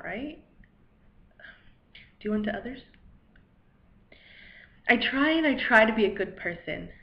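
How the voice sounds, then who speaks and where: A woman acting a dramatic monologue as a weary, self-deprecating failed poet, anxious and rambling, in a halting, wry, conversational way. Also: medium pitch. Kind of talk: dramatic monologue, cold read. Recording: echoey room, dull lo-fi microphone.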